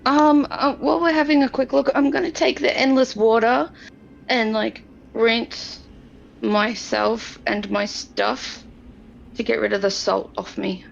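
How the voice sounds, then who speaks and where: Woman player chatting through a tabletop game, super fry-heavy voice, dull mic with faint noise. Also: a little high, fairly even intonation, measured pace. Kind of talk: casual game chat.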